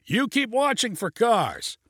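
Storybook pilot, played by a male narrator: reckless, cheerful, folksy, loud, raised pitch, swooping delivery. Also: sing-song, slow pace, slightly rough, dry recording, studio quality, heavily acted. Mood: confident. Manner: folksy, loud, breezily confident.

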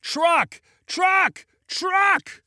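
A man voicing a nervous male passenger in a small plane, protesting and shouting urgently in an audiobook story. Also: extremely high pitch, extremely slow, dry recording, clean studio sound, pitched above the performer's own voice, full character voice. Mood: screaming panic.

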